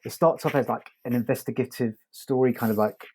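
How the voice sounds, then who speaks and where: Male podcast co-host, medium pitch, casual reviewer feeling his way through phrases. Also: fairly even intonation, a little fast, a little mellow, slight background noise.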